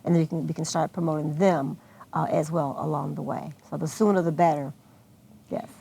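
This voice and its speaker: A woman's voice: sits low, fairly even intonation, brisk, creaky, warm tone, a little hiss or hum, poor audio. An American woman answering questions as a guest organizer in an unscripted television interview. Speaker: guest, arts festival organizer.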